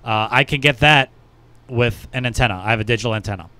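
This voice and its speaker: Clear-voiced man in halting casual chat. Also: mid-range voice, sharp tone, no echo. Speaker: podcast co-host. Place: small podcast studio. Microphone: close studio mic.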